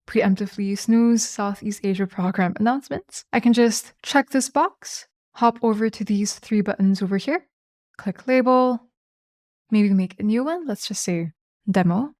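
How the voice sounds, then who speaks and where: A woman narrates a software tutorial in a fairly high voice. Her delivery is conversational and explanatory, as a host walking through steps. The sound is dry, with hardly any room in it. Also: dry recording.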